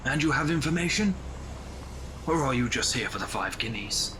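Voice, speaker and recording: A mid-pitched gentleman, played by a man in an audio drama, against clearly noisy ambience. He is formal, cautious and concerned. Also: expressive, normal speed, close to an everyday voice. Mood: guarded, concerned.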